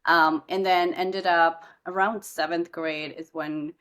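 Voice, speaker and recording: Young woman from india, low deep voice, startup founder chatting on a podcast, lots of ums and restarts. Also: fairly even intonation, slow, a bit bright. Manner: chatty, many fillers and restarts. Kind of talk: casual podcast interview.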